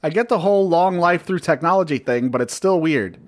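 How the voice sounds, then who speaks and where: A man on a casual podcast reading a review aloud in a sardonic, deadpan way, swearing freely and breaking off for banter. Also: a little high, fairly quick.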